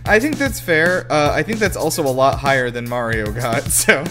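A man co-hosting a casual movie-reaction chat, excitable and joking, with casual swearing. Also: medium pitch, normal speed, over music. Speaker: podcast co-host.

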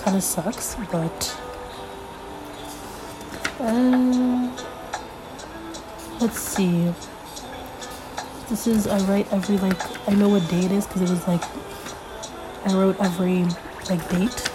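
A young woman hosting a casual solo podcast, a little congested from being sick, rambling with lots of fillers as she thinks out loud. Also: medium pitch, fairly even intonation, slow pace, long pauses, music in the background, rough recording. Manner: rambling, filler-heavy, thinking aloud while flipping pages. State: congested from a recent illness. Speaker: podcast host.

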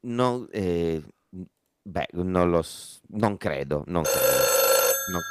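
A man's voice: deep voice, expressive, takes it slowly, smooth, no room sound. A man co-hosting a comedy podcast, bantering ironically with hesitant, repeated, mock-deferential replies. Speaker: podcast co-host. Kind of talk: comic banter in a radio sketch.

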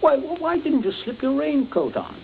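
A man's voice: very high-pitched, very animated, normal speed, vocal fry, exaggerated voice. An actor playing a genteel, menacing middle-aged father in a radio drama, giving polite, clipped orders with false joviality. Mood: genial, unruffled. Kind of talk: old-time radio drama acting. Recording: tinny band-limited old radio, faint noise.

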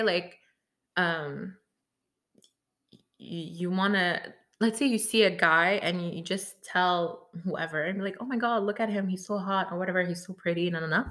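A woman with a bright, clear voice co-hosts a casual podcast chat. She talks in an animated way, laughs a lot and acts out the people in her stories. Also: mid-range voice. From Lebanese family. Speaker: podcast co-host.

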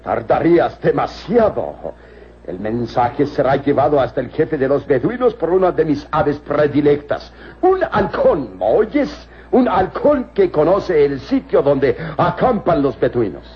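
An actor playing a scheming, arrogant, cruel villain in an old radio serial, with a husky, theatrical, imperious delivery. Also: male voice, on the high side, sing-song, unhurried, slight background noise. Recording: dull lo-fi old radio recording.

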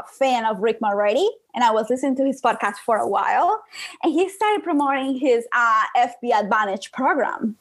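A woman's voice: a little high, fairly bright tone. A young woman with a Colombian accent and Spanish as her first language, telling her own story as a guest in a conversational interview. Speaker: podcast guest, digital marketing business owner.

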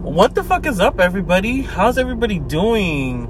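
A man of twenty-five talks while driving, loose and rambling with plenty of swearing and fillers. He is a little sick, which makes his voice sit deeper than usual, though it still sits somewhat high for a man. The car's road noise rumbles clearly behind him. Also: sing-song, a little fast. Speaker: podcast host. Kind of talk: casual talking-to-camera vlog. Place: inside a car while driving. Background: car road noise.

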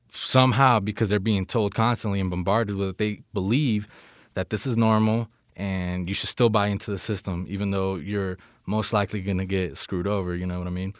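Young american dude just chatting, slangy and loose, lowish voice, dry close sound. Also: male voice, steady tone, normal speed, clear, resonant tone, dry recording. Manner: informal, slangy, frequent "you know what I mean". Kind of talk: casual conversational chat.

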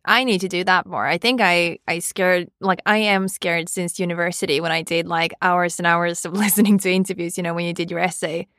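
A woman's voice: lowish voice, fairly even intonation, a little fast, hardly any pauses, a bit bright, no room sound, studio quality. A woman in her thirties with a Swedish accent, hosting a casual podcast chat, often laughing at herself. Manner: laughs often, self-mocking.

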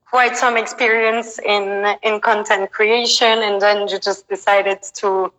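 A woman's voice: medium pitch, little pitch movement, a little slow, a bit bright, big-room sound, rough recording. A woman hosting an interview and asking questions hesitantly, with frequent ums. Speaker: interviewer, host. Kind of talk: hosted interview.

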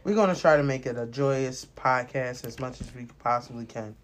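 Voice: medium pitch, moderate pace, a little hiss or hum. An American podcast host in their late twenties talking casually and personally, rambling, candid and emphatic, with plenty of swearing. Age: late 20s.

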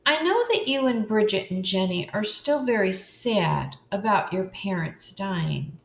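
An older woman voicing a kind, thoughtful young girl who speaks gently, in a storybook reading. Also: medium pitch, lively intonation, a little slow, vocal fry, slight background noise. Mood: gentle. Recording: roomy echo.

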